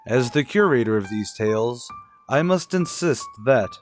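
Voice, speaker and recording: A man introducing a suspense radio play as its host, in grandiose, deliberate, dramatic scripted narration. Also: mid-range voice, expressive, faint music behind.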